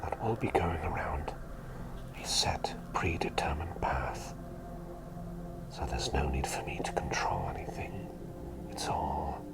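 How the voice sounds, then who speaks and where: A male performer plays a gentle plague doctor who dotes on his patient in an ASMR roleplay. He whispers in an extremely deep register and takes his time, leaving soft pauses. The delivery is soothing and caring, with a playful streak. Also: animated, creaky, full character voice. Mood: tender, reassuring. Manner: slow, soothing, softly attentive, with gentle pauses.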